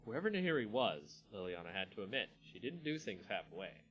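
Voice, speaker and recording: Male host and story reader, animated range, dramatic narration, faint music underneath. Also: lowish voice, fairly quick. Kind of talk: dramatic scripted narration with casual asides.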